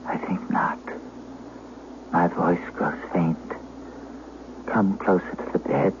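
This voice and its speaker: An actor playing a frail, dying old shepherd, speaking in a weary, solemn rustic manner in an old radio drama. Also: male voice, low voice, steady tone, slow pace, in a whisper. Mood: confessing, failing. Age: elderly. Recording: dull vintage radio, faint hiss.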